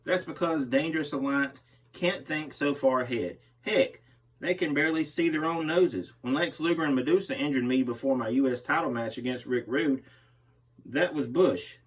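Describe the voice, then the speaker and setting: A man's voice: mid-range voice, moderate pace, husky. A man reading a magazine interview aloud as a host, voicing the interviewee's part. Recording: distant microphone.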